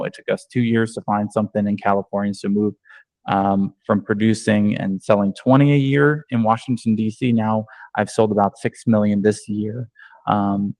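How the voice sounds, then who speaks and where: Low-voiced man leading an online training. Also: darkish tone, dry recording, rough recording. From New York. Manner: conversational, many ums and restarts. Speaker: real estate agent and trainer. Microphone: video call.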